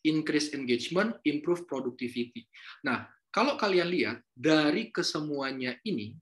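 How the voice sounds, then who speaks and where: A man's voice: on the high side, fairly bright tone, slight background noise. A man giving a casual university lecture, chatting with students, asking them questions and slipping in Javanese and English words. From North Sulawesi, Indonesia.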